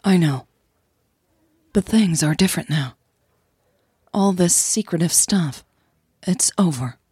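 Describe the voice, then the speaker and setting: A woman's voice: fairly low pitch, lively intonation, slow, dark tone, breathy edge, no room sound, studio quality, heavily acted. A woman playing a thoughtful, candid young woman in a romantic audio drama, speaking in a hesitant, sincere way. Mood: reassuring.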